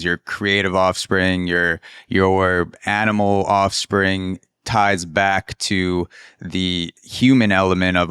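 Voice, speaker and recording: Man, late twenties, podcast host, low resonant voice, casual, dry studio mic. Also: fairly bright tone, clean tone, dry recording. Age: 20s. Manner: casual, searching for words, many restarts. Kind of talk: casual interview and ad read.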